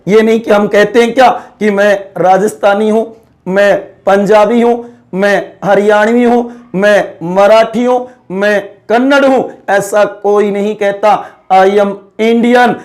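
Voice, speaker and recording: A man's voice: high-pitched, a little mellow. A male teacher giving an exam-prep civics lecture. He repeats key terms and keeps checking whether the students follow. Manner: drawn-out, emphatic slogan-like closing.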